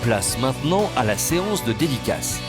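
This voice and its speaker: A man's voice: medium pitch, very animated, moderate pace. A man reading scripted voice-over narration for a television documentary. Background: music behind the voice.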